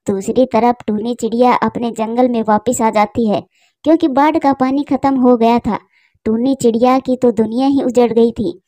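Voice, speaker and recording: High, mellow woman storyteller. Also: dry recording. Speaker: narrator. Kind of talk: scripted children's story narration.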